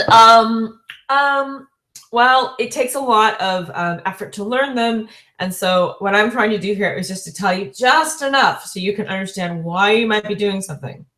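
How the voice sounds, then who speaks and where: A woman's voice: mid-range voice, fairly bright tone. Chatty woman lecturing in an echoey room. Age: 40s. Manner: chatty, many fillers and self-corrections; laughs lightly between points. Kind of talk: recorded online lecture.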